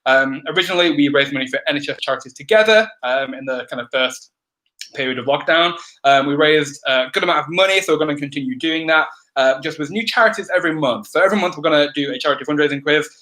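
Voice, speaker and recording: Bright-voiced quiz host, crackly. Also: male voice, a little high. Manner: chatty, many ums, brisk instructions. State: crackly voice, clearing throat. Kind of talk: live-stream housekeeping announcements.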